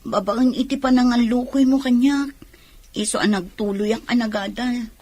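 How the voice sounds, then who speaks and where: Woman acting as young sweetheart, fairly high voice, sincere, radio drama. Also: normal speed, darkish tone, lightly acted. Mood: warm, bantering.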